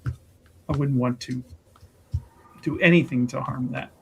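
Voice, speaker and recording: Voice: mid-range voice, fairly even intonation, slow pace, a little mellow, pitched below the performer's own voice, full character voice. A player voicing an earnest, devout religious sister who speaks formally and carefully in a tabletop game. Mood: polite, dutiful. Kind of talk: tabletop roleplay in character. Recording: clear background noise.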